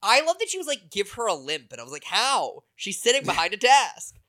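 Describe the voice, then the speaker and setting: A man co-hosting a podcast, chatting casually and acting out quotes with quick quips. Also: sits high. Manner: animated, acts out quotes, quips. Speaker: podcast co-host. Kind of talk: casual chat.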